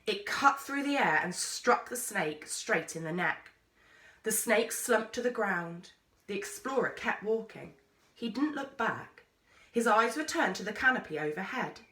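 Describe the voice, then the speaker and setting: A woman narrates a children's story with measured drama. Her voice is bright and highly animated. She sounds set back from the microphone in an echoey room. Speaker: teacher reading aloud. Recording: roomy echo, distant microphone.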